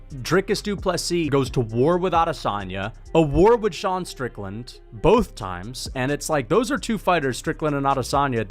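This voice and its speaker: A man giving casual, animated MMA commentary, talking loosely and swearing freely. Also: fairly high pitch.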